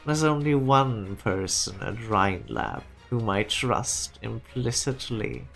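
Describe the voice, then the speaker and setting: A man voicing a stern, self-assured laboratory boss in an amateur fan dub, with a measured, condescending manner. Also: mid-range voice, animated, gravelly, fairly bright tone, faint music behind, heavily acted. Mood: calm, condescending.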